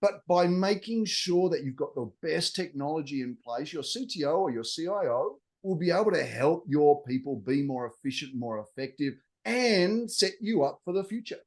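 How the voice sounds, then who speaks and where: A middle-aged Australian-accented man answers interview questions fluently and confidently. His voice sits a little high for a man. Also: animated, normal speed, hardly any pauses. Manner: articulate, fluent, business-like explainer. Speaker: guest, technology executive.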